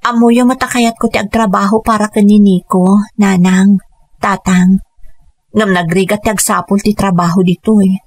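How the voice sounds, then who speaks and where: A woman's voice: mid-range voice, fairly even intonation, normal speed, a little mellow, lightly acted. A woman playing an earnest, self-sacrificing young mother who speaks pleadingly in a radio drama. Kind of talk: Ilocano radio drama acting.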